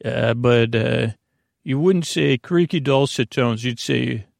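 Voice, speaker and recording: Creaky-voiced, meandering man hosting a podcast. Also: medium pitch, dry recording, clean studio sound. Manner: meandering, halting, full of tangents; soothing, lulling delivery. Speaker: podcast host. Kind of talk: rambling bedtime podcast introduction.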